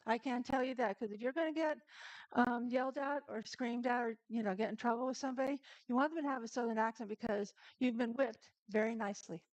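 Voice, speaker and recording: A woman's voice: fairly high pitch, fast, a little mellow, no room sound, rough recording. A woman hosting a casual live-stream cooking demo, chatting straight to her viewers and laughing easily.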